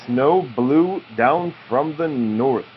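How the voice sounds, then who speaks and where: A man reading a children's picture book aloud to his child in a slow, drawn-out storytelling way, with informal asides. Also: mid-range voice, expressive. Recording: muffled home recording with background noise.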